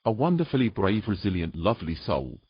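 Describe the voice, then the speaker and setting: An actor playing a tender, protective man with a husky voice and a gentle, coaxing manner in an intimate fantasy romance. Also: lowish voice, big pitch swings, a little fast, no room sound, dull audio. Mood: soothing. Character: tender, protective human man soothing a mermaid.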